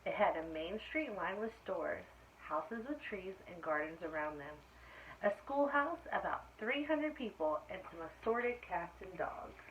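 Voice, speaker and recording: A woman reading a picture book aloud to young children like a teacher, with expressive storytelling delivery. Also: lowish voice. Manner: expressive storytelling, pausing to ask children questions. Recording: dull lo-fi sound with clear background noise.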